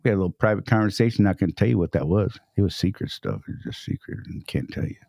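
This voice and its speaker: A man's voice: deep voice, expressive, fast, creaky, dark tone, no room sound, clean studio sound. A man hosting a casual live stream, upbeat and greeting chat viewers by name.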